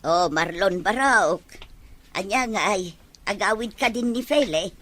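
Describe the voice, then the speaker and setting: A woman playing an affectionate, excitable older mother in an Ilocano audio drama, speaking expressively. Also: mid-range voice, unhurried, slight background noise. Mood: chatty. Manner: expressive, exclamatory.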